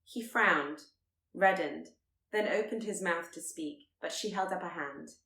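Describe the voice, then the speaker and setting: Woman reading a story audiobook style, lowish voice, expressive, very roomy sound. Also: measured pace, creaky. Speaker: audiobook narrator. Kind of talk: expressive literary narration.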